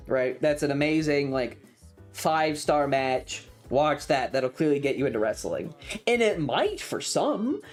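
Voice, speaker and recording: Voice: medium pitch, a little mellow. A streamer chatting casually and enthusiastically in a rambling, colloquial way, like a fan talking to friends. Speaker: streamer and content creator.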